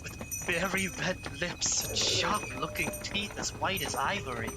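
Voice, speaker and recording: A man's voice: on the high side, lively intonation, unhurried, over loud music. An actor playing an earnest, anxious young lawyer's clerk narrating his journal in a dramatic radio play style. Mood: uneasy. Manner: dramatic, urgent, mounting dread.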